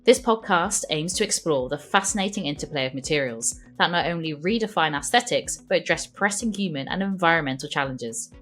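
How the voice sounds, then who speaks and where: A woman hosts her own podcast in a low, slightly croaky voice left over from an illness. She speaks with gushing warmth and stretches out her phrases. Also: lively intonation, brisk, few pauses, soft background music. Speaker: podcast host. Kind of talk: scripted intro, then casual interview chat.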